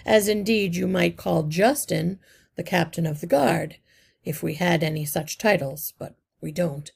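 A woman voicing an old, wise male rat leader in a storybook, measured and courteous as he explains. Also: fairly low pitch, vocal fry, breathy edge, exaggerated voice.

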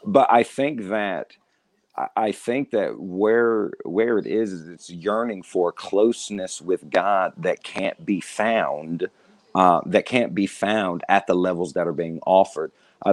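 Low-voiced man, dry close sound. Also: dry recording. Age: 30s. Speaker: guest. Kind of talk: casual discussion.

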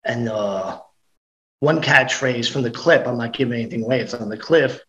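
A middle-aged man in casual podcast conversation, mid-pitched and ordinary in tone. He sounds upbeat and chatty and keeps doubling back to restart his sentences. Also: moderate pace, pushed and bright, muffled recording. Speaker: guest actor and comedian.

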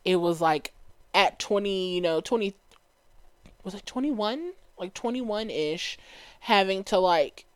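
A woman's voice: mid-range voice, expressive, unhurried, dry recording. A young woman from Dallas co-hosting a podcast, chatting casually with a light, valley-girl sounding voice and lots of "like" fillers. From Dallas, Texas. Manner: chatty, many "like" fillers, laughs easily. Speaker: podcast co-host. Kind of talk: casual chat.

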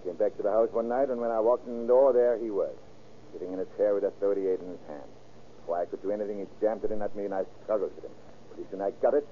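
An actor playing a cocky, stubborn, mean killer who confesses casually in folksy slang, in old radio crime drama style. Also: male voice, lowish voice, racing, close to an everyday voice. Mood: casual confessing. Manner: breezy, unrepentant, folksy slang. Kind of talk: 1930s-40s radio crime acting. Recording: tinny, noisy old radio recording.

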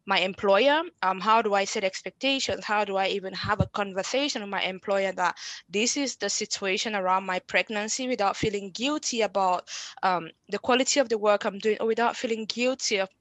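A woman's voice: medium pitch, fairly quick, a bit bright, dry recording. A woman from Cameroon speaking as an interview guest in a conversational style. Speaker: podcast guest, founder and management consultant.

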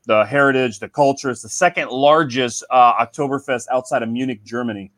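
A man from Cincinnati, Ohio, a cigar company guest in casual podcast chat, telling stories in a relaxed way and often saying "man". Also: medium pitch, a little fast, dry recording.

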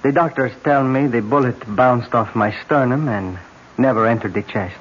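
A man's voice: medium pitch, normal speed, natural voice. Man playing an easygoing wounded man, muffled radio. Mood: casual, woozy. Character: easygoing young man back from the dead, wounded. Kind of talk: 1970s radio drama acting. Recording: muffled old radio recording, faint noise.

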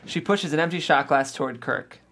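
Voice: mid-range voice, a little fast, fairly bright tone, a little hiss or hum. A narrator reading scripted stage directions at a comedy table read.

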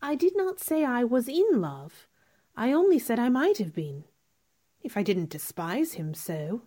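A proud, sharp-tongued young lady of a period radio play acted by a woman. Her voice is fairly high and swings widely in pitch, with cool, formal diction. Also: big pitch swings, a little slow. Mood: wistful, guarded. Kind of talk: radio drama acting.

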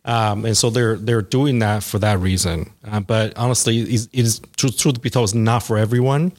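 A man in his forties, talking through his career in a casual interview, halting with fillers and restarts. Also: on the low side, fairly even intonation. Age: 40s.

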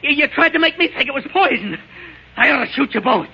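An actor playing a paranoid, thirst-crazed drinker in an old-time radio drama, with a raspy, high-strung, menacing delivery. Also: male voice, expressive, quick pace, full character voice. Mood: raving, accusing. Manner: raving, menacing, sneering. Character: paranoid, thirst-crazed, whiskey-drinking husband stranded in wasteland. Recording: dull vintage radio recording.

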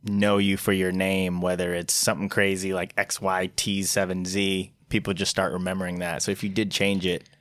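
Low-voiced joking male podcast host. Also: flat delivery, normal speed, few pauses, a bit bright, dry recording. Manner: joking, teasing. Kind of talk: casual chat, interviewing.

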